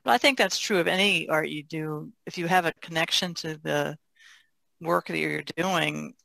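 A woman's voice: deep voice, moderate pace, gravelly, bright, forceful tone, no room sound. A woman who works as an artist, talking casually as a podcast guest in a reflective, tentative way. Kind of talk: casual discussion.

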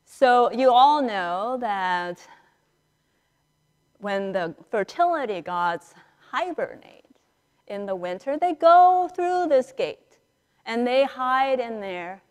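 A woman priest preaches in a fairly high voice, taking her time between phrases. She tells the story conversationally and acts out the dialogue. Her words ring through a reverberant church. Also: smooth. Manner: animated storyteller acting out dialogue, with dramatic pauses. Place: large church sanctuary, indoors, standing and moving before the altar. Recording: reverberant church hall, picked up in a large space.

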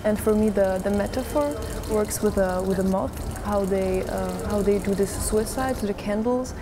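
A woman artist explaining her light installation in a thoughtful, halting way. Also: mid-range voice, background noise. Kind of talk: explaining an artwork.

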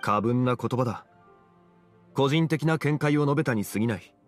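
An actor playing a calm, modest, scholarly gentleman in a Japanese game, with measured, old-fashioned formal speech. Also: mid-range voice, soft background music, natural voice. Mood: composed. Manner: archaic, measured, formal wording.

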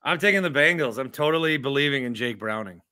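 A man's voice: mid-range voice, lively intonation, quick pace, bright, forceful tone, clean tone, dry recording. An American man in his thirties hosting a sports podcast, chatting casually into a desk microphone at home. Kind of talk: casual chat. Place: small home room with framed pictures, video call. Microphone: desk microphone close in front.

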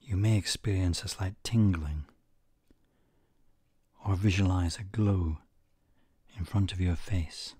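Low, slow, soft male meditation guide. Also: husky, no echo. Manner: soothing, measured, with pauses between short phrases.

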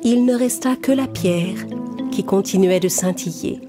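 A woman narrating a children's cartoon tale in clear, even storytelling. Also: mid-range voice, measured pace, music in the background.

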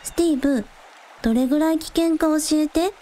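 A woman's voice: sits high, brisk, warm tone, natural voice. A woman playing a casual, blunt young village idol in a radio drama, with breezy, slangy, matter-of-fact delivery. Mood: amused, blunt. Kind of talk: Japanese radio drama acting.

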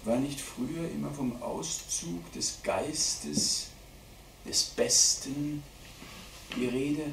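A man giving a live dramatic poetry reading at a literary event, declaiming deliberately with strong shifts between hushed and loud delivery. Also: medium pitch, expressive, vocal fry. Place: indoor literary event venue at a theatre. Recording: roomy live hall recording, distant mic, faint noise.

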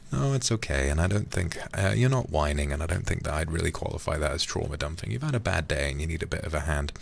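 A man livestreaming a game in a soft, soothing ASMR style, chatting casually and affectionately close to the microphone. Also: rumbling low, animated, a little fast, vocal fry, slightly rough. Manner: soothing, affectionate, drops into whispers. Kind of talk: ASMR gaming stream, soft casual chat. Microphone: close streaming microphone.

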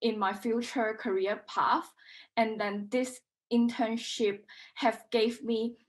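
A woman's voice: a little high, fairly even intonation, takes it slowly. Young woman on a video call, lots of ums, unsure. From Hong Kong. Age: young adult. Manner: hesitant, many ums. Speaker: intern.

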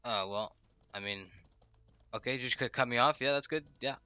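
A man's voice: mid-range voice, sharp tone, smooth. A man on a headset chatting with a friend while playing an online game, joking around and trash-talking the enemy players. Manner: fast, jokey trash talk. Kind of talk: casual gaming chat.

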